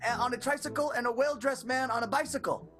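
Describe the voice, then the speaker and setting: A woman's voice: high voice, quick pace, close to an everyday voice. A woman playing a furtive, scheming vaudeville comic in an improvised radio comedy, urgent and conspiratorial with corny joke delivery. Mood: gleeful, scheming.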